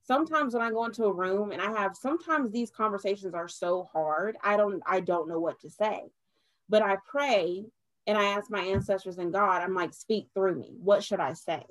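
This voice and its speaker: A young adult woman speaks earnestly at a middle pitch, and her voice sits close and dry against the mic. She talks as a podcast guest in a casual chat. She lifts into animated bursts when she laughs. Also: normal speed, no echo, poor audio.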